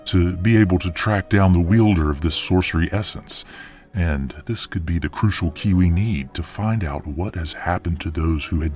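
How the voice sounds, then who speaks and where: A man plays a stern lord in a fantasy audio drama. His voice is very deep and he speaks with firm authority. Soft music runs underneath. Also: close to an everyday voice. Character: commanding, stern male fantasy lord presiding over council.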